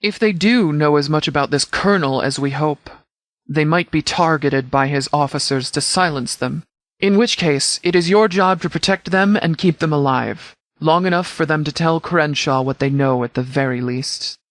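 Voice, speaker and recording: An actor playing a stern but caring military commander in a video game, speaking in a measured, formal, authoritative way. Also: male voice, on the high side, no echo, lightly acted. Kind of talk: scripted game dialogue.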